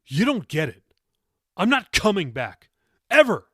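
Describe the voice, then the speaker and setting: A man's voice: high voice, big pitch swings, measured pace, pushed and bright, husky, no echo, studio quality, voice pushed up, heavily acted. A man voicing an angry, sarcastic seventeen-year-old grandson in an audiobook, sneering and snarling his words. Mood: angry, defiant.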